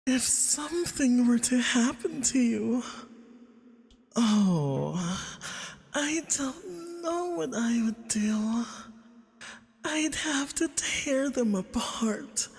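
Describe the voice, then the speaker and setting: A possessive femboy vampire captor played by a woman speaks in a high, breathy voice at a very slow, lingering pace. He coos and teases flirtatiously with an undertone of menace. The ASMR roleplay is drenched in long reverb. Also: a little mellow, full character voice. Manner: slow, cooing, teasing pet-name talk with breathy murmurs.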